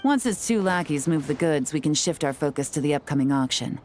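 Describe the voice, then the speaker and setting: A woman playing a cool, composed female secret agent who speaks in a calm, measured, quietly confident way, in a video game cutscene. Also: deep voice, soft background music.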